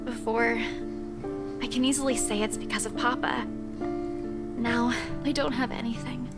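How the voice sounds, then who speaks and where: Woman playing guarded, weary young visual novel heroine, fairly high voice, loud guitar music behind. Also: steady tone, normal speed, lightly acted. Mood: downcast, unsure.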